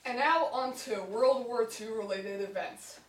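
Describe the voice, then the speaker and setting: A woman's voice: fairly high pitch, unhurried, recorded from a distance. A young woman anchoring an amateur mock newscast with a mock-earnest delivery, reading from a script. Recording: echoey room, off the mic.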